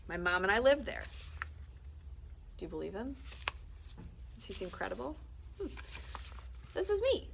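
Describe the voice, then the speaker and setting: A woman's voice: fairly high pitch, creaky. A woman reading a children's book aloud expressively for library story time, with asides to her listeners. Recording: faint background noise.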